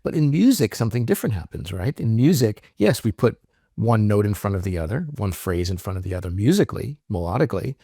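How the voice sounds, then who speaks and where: A man's voice: fairly low pitch, very animated, quick pace, creaky, dark tone, slightly rough, dry recording, clean studio sound. A man guest speaking on a podcast, explaining ideas thoughtfully with frequent "um" and "right?". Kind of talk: reflective interview talk.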